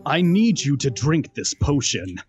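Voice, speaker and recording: A man voicing a brooding, dryly sarcastic younger brother in a cartoon roleplay, with a deadpan, drawn-out delivery. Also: on the high side, breathy edge, soft background music, exaggerated voice. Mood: dry, insistent. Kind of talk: improvised Minecraft roleplay voice acting.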